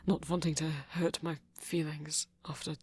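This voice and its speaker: A man voicing a shy, smitten young vampire in an ASMR roleplay, soft and halting. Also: fairly high pitch, flat delivery, slightly rough. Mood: bashful, tender.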